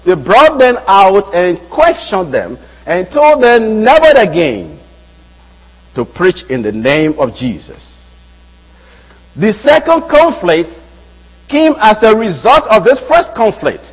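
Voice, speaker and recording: A young man from Liberia preaches to a church congregation, his fairly high voice swinging widely up and down as he stresses key phrases. He speaks slowly and deliberately. The recording sounds dull and lo-fi, with a long reverb trailing through the sanctuary. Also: big pitch swings, slightly rough. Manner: measured preaching, rising emphasis on key phrases. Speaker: guest preacher, Bible student. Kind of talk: sermon.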